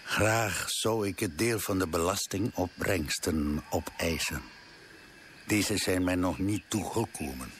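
A deep-voiced, slow old regent. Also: male voice, a bit bright, slight background noise. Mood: formal, demanding. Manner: measured, formal, deferential. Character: old, dignified Javanese regent, courteous and formal. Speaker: actor in a radio play. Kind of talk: Dutch radio drama acting.

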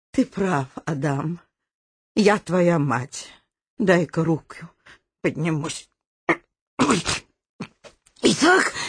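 An actress playing an excitable, domineering old lady in a comic radio play, with a theatrical, bossy, exclaiming delivery. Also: medium pitch, slightly rough, dry recording, studio quality, full character voice. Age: older.

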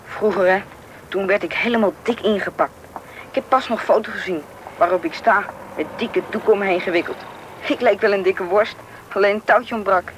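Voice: mid-range voice, brisk, lightly acted. A young male performer playing a cautious, obedient schoolboy in a radio drama. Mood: earnest, chatty. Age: young teen. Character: cautious schoolboy friend, obedient to his grandmother. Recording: radio play with noisy outdoor ambience.

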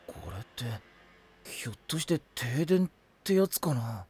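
Easygoing anime boy, lively intonation. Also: a little high, measured pace, husky, no echo, exaggerated voice. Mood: puzzled. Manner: casual, laid-back, lightly teasing. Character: easygoing, kindly teenage boy, casual and teasing. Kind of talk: anime audio drama acting.

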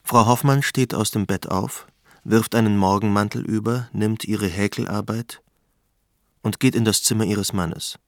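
A man narrating a German radio drama in a measured, deliberate, sombre literary style. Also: on the low side, steady tone, dry recording.

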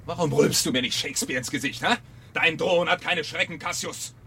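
An actor playing a taunting, theatrical and menacing fire chief in a German radio play from 1970. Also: male voice, fairly high pitch, a little fast, pushed and bright, slight background noise. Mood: mocking, goading. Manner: mocking, needling, theatrical.

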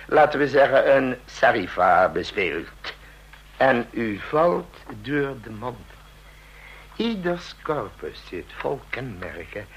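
A man's voice: medium pitch, fairly bright tone, a little hiss or hum, exaggerated voice, rough recording. Een man die in een hoorspel een babbelzieke, scherpzinnige oude tandarts speelt, bedachtzaam en licht dreigend, vol gemompelde herhalingen. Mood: probing, murmuring. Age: older. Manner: deliberate, musing, with murmured repeats and rhetorical questions. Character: chatty old dentist, shrewd, musing, quietly menacing. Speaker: dentist. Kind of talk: radio drama acting.

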